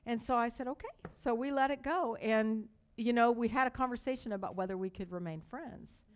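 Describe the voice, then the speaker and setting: An older woman pastor from Texas with a light Texas accent, preaching a sermon with slow, emphatic repetition and storytelling asides. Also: on the high side.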